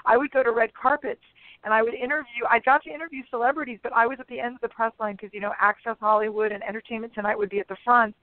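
Slightly raspy chatty woman over a phone line. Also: fairly high pitch, steady tone, fast, no room sound. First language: English. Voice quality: a bit raspy today. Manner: chatty, rambling with many fillers. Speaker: guest. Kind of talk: casual interview chat. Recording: phone-line radio sound.

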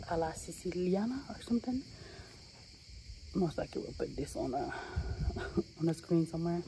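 Low-voiced woman vlogging with a hoarse voice. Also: warm tone, a little hiss or hum, poor audio. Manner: chatty, says y'all and like often. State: losing her voice. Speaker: travel vlogger. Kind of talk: casual vlog chat to camera. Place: outdoors, sunny hillside villa terrace. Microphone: phone camera held at arm's length.

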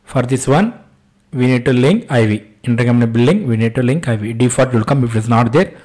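Indian-accented man teaching software step by step. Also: mid-range voice, fairly quick. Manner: repetitive, punctuated with short questions to himself. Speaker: software instructor. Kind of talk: step-by-step screen-share tutorial, spoken off the cuff.

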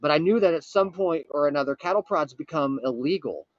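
Man playing a frantic high-pitched time traveler. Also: no room sound, dull audio, natural voice. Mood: earnest, improvising. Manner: urgent, pleading, halting with dramatic pauses. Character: frantic, earnest male time traveler from the far future. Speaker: prank caller. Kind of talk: improvised comic prank phone call.

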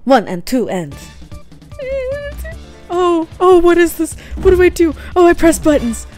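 A young woman commenting casually and excitedly as she plays a game, often laughing. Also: extremely high pitch, measured pace. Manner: giggly, excitable. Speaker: gaming youtuber. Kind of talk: casual let's play commentary. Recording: game music faint underneath.

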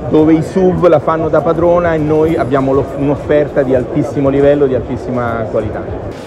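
A man's voice: a little high, steady tone, brisk, dull audio, over loud music. A man, a car company executive, answering interview questions confidently and fluently at a busy motor show.

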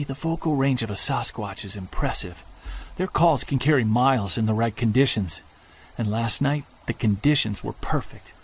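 An actor playing a Bigfoot hunter on an expedition, talking low and excited into his field recorder, with faint outdoor sound behind him. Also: slightly rough. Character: obsessed male Bigfoot hunter alone in woods. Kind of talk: audio drama field-recorder monologue. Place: woods at night and day.

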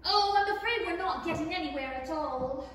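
A woman's voice: extremely high pitch, moderate pace, fairly bright tone. A woman playing a polite, bewildered little girl in a 1930s-style radio play. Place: theatre stage, reading from script. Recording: echoey hall, distant, faint noise.

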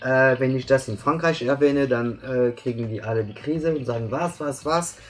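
A man's voice: mid-range voice, moderate pace. A man in his thirties from France, speaking German with a French accent as an invited expert, explaining patiently with many hesitations and fillers. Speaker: guest expert. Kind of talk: explanatory interview chat. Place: bright office room with plants.

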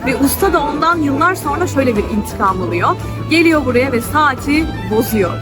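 Female travel vlogger, high voice, chatty explainer talking straight to viewers, loud music behind. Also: animated, brisk, over a noisy crowd. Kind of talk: casual travel vlog narration to camera. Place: outdoors in city streets. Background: loud music, urban street sounds.

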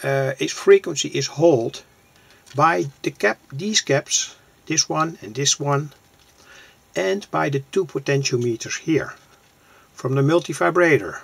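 A man with a husky, gravelly voice gives a hands-on technical explanation. He speaks very slowly, phrase by phrase, in a plain and halting way, like a hobbyist presenting his own work. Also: fairly high pitch. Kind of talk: hands-on circuit demonstration, explanatory. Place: small cluttered indoor electronics workbench.

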